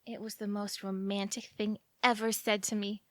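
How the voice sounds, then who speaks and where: Woman playing lovelorn young woman, anxious, fretful self-questioning, medium pitch, audio drama. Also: little pitch movement, moderate pace, dry recording, clean studio sound, close to an everyday voice. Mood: rising desperation. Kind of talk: audio theatre acting.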